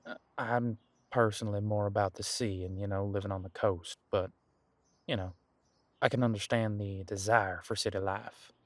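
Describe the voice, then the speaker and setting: An easygoing ancient demon detective played by a man talks in a low voice, close and dry. He muses in a folksy, laid-back way, as if chatting with a companion in an audio roleplay. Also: fairly even intonation, normal speed, dry recording, close to an everyday voice. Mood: relaxed, musing. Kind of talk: conversational one-sided audio roleplay.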